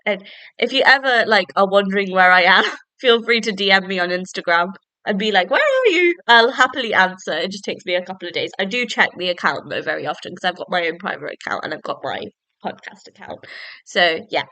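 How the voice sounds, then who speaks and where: A woman's voice: mid-range voice, animated, fairly quick, pushed and bright, dry recording. Chatty young woman, bit stuffy from a cold, rambles with lots of likes, dry close mic. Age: young adult. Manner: rambling, chatty, many "like" fillers. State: bunged up, sniffly from a cold. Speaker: podcast host. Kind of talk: casual solo book-review podcast.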